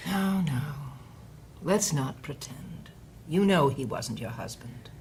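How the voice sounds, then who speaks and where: An actress playing a stern, sanctimonious matron of an indoctrination centre in an audio drama, condescending and preachy. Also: female voice, low-pitched, lively intonation, slow pace, a little mellow, a little hiss or hum, full character voice. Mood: cold, condescending. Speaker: actor.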